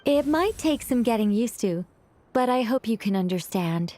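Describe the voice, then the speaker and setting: Woman playing a soft-toned, serene mage. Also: fairly high pitch, expressive, slight background noise, close to an everyday voice. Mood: courteous. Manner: formal, gentle, measured. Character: serene, courteous, enigmatic young woman mage. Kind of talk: English video game voice acting.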